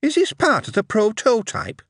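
A fretful Yorkshire mother with a high, strained voice that swoops widely, a man putting her on in an audiobook reading. Her husky, breathy tone sits close and dry, and she talks in blunt, homely words. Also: huge pitch swings, moderate pace, fairly bright tone, no echo, exaggerated voice. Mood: bewildered. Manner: plain-spoken, fretful, then stern. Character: anxious, doting Northern mother, middle-aged.

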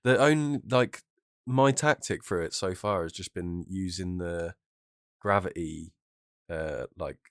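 Male co-host, British, very low pitch, mild head cold, informal gaming talk, dry recording. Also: unhurried, clean tone, no echo. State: bunged-up nose from a cold. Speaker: podcast co-host. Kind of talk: casual chat about video games.

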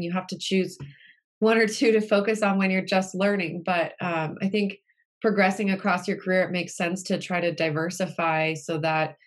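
Chatty woman hosting a tech interview. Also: on the low side. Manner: friendly, fillers, frequent small laughs. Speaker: podcast host. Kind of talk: casual interview chat.

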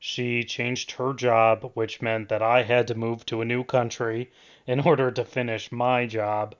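A man's voice: mid-range voice, little pitch movement, unhurried, clear, resonant tone, muffled recording. A young adult man speaking as the host of a student radio interview show. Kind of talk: scripted radio introduction and conversational interviewing.

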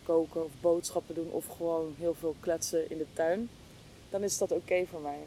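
A woman's voice: fairly even intonation, normal speed, dark tone. A woman chatting casually and reflectively, thinking aloud in a hesitant, searching way. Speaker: interview guest, podcast hostess. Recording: clear low rumbling background noise.

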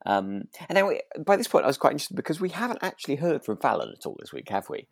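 A man co-hosting a relaxed podcast chat about a radio drama, speaking in a gentle, tentative way. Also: mid-range voice, fast talker, gravelly, no echo, clean studio sound. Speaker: podcast co-host.

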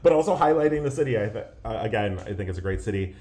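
A man's voice: fairly low pitch, animated, racing, warm tone. A man from Pennsylvania co-hosting a podcast, chatting casually and jokingly with lots of fillers. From Pennsylvania, USA. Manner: laid-back, joking, many fillers. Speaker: podcast co-host. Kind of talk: casual banter podcast chat. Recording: roomy echo.

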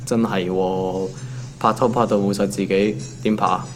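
男演員扮演聲線偏低嘅香港後生打工仔. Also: male voice, sits low, flat delivery, moderate pace, a little hiss or hum, close to an everyday voice. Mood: teasing, casual. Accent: Hong Kong. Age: 20s. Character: ordinary young male office worker, teasing and put-upon. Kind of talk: naturalistic Cantonese audio drama dialogue.

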